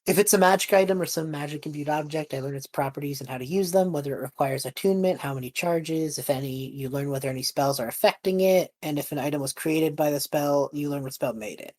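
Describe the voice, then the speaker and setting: A woman's voice: deep voice, fast talker, few pauses, a little mellow. A woman playing a tabletop roleplaying game, narrating her character's actions in a casual, rambling, informal way. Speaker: player in a tabletop roleplaying podcast.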